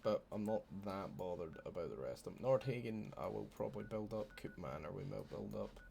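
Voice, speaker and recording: A Scottish man with a low, gravelly voice talks through a game from his home streaming setup. He chats loosely and swears freely while he banters with his viewers. Faint ambient noise sits behind him. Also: animated, a little fast, warm tone. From Scotland. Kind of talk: casual gameplay commentary.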